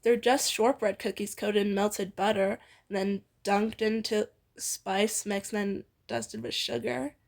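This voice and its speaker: A woman's voice: medium pitch, steady tone, measured pace, creaky, few pauses. A young woman reading fan fiction aloud informally with a friend, in a playful, giggly manner. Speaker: amateur fan fiction reader.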